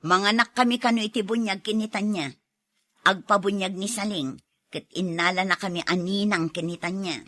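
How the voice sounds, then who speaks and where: Actress as a bright-voiced, put-upon Ilocano wife. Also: female voice, mid-range voice, fairly even intonation, moderate pace, no room sound, close to an everyday voice. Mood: resigned. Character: put-upon Ilocano wife and mother, weary but feisty. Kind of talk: radio drama dialogue.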